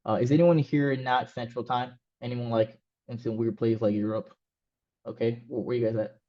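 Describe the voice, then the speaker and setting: A man's voice: medium pitch, level tone, moderate pace. A man whose first language is Spanish, teaching an online coding class in a loose, casual way with many fillers and restarts. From Mexico. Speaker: coding instructor. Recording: slightly dull, lo-fi sound.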